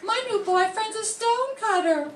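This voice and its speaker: An older woman playing a chatty, cheeky young gossip, landing each joke slowly, in an amateur radio drama reading. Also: very high voice, lively intonation. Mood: gossipy. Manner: slow, punchline delivery. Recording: echoey room, far from mic, faint noise.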